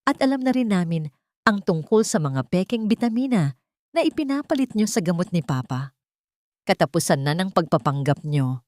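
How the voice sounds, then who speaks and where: A woman voicing a wronged, defiant daughter with a sharp, accusing manner in an audio drama. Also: fairly low pitch, sing-song, normal speed, no echo, clean studio sound. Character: Marian, wronged daughter, cold, defiant, accusing her stepmother.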